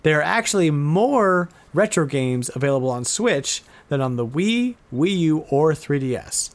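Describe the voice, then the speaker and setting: A man hosting a podcast, chatting casually and informally, often halting and repeating words as he goes. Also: a little high, animated. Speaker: podcast host. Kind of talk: casual chat about retro game news.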